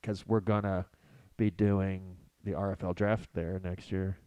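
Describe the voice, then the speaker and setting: A man's voice: low-pitched, little pitch movement, measured pace, mellow, no room sound. Chatty male sports podcast host. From United States. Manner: enthusiastic, chatty. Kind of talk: casual sports chat.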